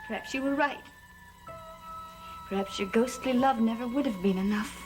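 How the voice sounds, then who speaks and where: Actress as high-voiced, wounded young woman. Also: moderate pace, lightly acted. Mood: hurt, vengeful. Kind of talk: gothic soap opera acting. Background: dramatic music behind the voice.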